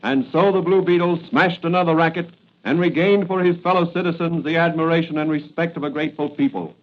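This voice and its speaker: A man narrating an old-time radio adventure from a script in a grave, portentous, measured way. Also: fairly high pitch, fairly even intonation, noisy background. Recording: muffled vintage radio recording.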